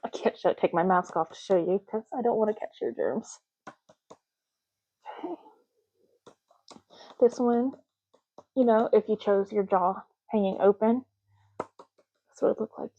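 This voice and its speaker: Mellow, soft-spoken ASMR woman, muffled recording. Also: medium pitch. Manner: unhurried, rambling, talking intimately to the listener. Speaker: ASMR roleplay host.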